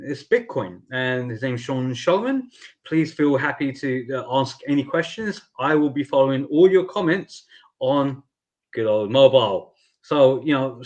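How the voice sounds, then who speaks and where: A man with a British accent hosting a live-streamed interview, upbeat and talking straight to the viewers. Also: a little high. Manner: upbeat, addresses viewers directly. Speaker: host of a mortgage adviser group live stream.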